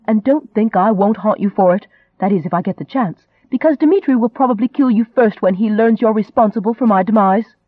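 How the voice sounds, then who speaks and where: A man voicing a proud, sharp-tongued young Englishwoman in an audiobook, indignant and biting. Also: sits high, normal speed, dry recording, phone-quality sound. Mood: furious.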